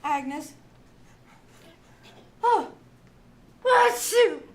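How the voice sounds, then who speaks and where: A wailing, sneeze-ridden radio-comedy wife. The voice is extremely high and slow, drawn out in exaggerated suffering. A faint noise of the live stage sits behind it. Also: female voice, lively intonation, heavily acted. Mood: miserable, wailing. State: sneezy, allergic. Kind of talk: live old-time radio comedy acting. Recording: noisy live theater stage.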